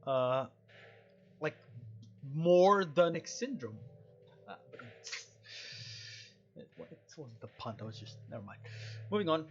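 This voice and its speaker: A man with a slightly high voice plays a game and reads its dialogue aloud. He rambles casually and drops in joking asides. The sound is a little muffled, with some background noise from a home setup. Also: big pitch swings, unhurried, long pauses. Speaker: let's play streamer. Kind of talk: gaming commentary, reading game dialogue aloud.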